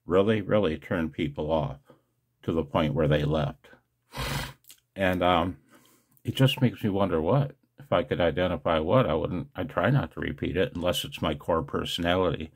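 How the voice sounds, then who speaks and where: A man talking casually and self-deprecatingly to his viewers in a rambling monologue, as host of a video channel. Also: deep voice. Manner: self-deprecating, chuckles often.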